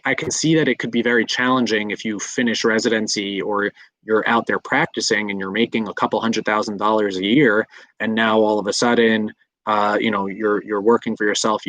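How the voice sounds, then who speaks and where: A man's voice: fairly low pitch, fairly bright tone, no room sound, poor audio. A man in his thirties, a doctor in training, answering viewer questions in a live session, explaining at length with frequent fillers and restarts. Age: 30s. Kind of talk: live Q&A talk.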